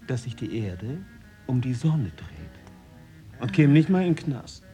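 Sardonic, exasperated drinking companion. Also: male voice, medium pitch, animated, slow, mellow, soft background music. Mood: scolding. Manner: sardonic, scolding. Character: exasperated, mocking male tavern companion of the poet. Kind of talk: radio drama acting.

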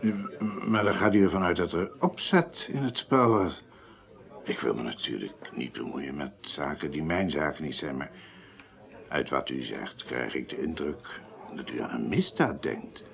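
An actor playing an embittered, washed-up politician and ex-naval officer near breakdown in a radio crime drama, speaking haltingly and with grievance. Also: male voice, extremely low pitch, expressive, gravelly, heavily acted. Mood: pompous, insistent. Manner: hesitant, halting, aggrieved. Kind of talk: 1980s Dutch radio play acting.

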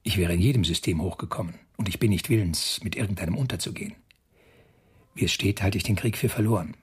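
An actor playing an ambitious, calculating SS officer in a German radio drama, speaking in a precise, bureaucratic and self-satisfied way. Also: male voice, deep voice, fast, slightly rough. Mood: calculating, confiding. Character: ambitious, calculating male Nazi SS officer reporting coolly.

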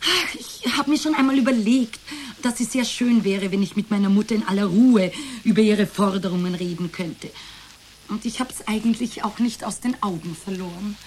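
A woman's voice: medium pitch, moderate pace, darkish tone, slight background noise, natural voice. An actress playing a hesitant, burdened therapy client in a radio drama, searching for her words in conversational speech. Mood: hesitant, reflective.

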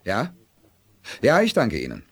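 An actor playing a determined human space leader in a 1980s radio drama, commanding and insistent. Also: male voice, on the low side, huge pitch swings, unhurried, breathy edge, full character voice. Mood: urgent, businesslike.

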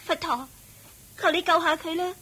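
A bright-voiced pleading young woman. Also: very high-pitched, steady tone, normal speed, poor audio. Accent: Hong Kong Cantonese. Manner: earnest, pleading. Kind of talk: radio drama acting.

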